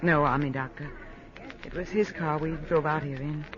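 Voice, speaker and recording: A woman's voice: low-pitched, steady tone, moderate pace, faint music behind, close to an everyday voice. An actress playing a wary young working woman drawn into danger in a 1950s American radio drama. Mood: subdued, uneasy. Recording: muffled 1950s radio recording.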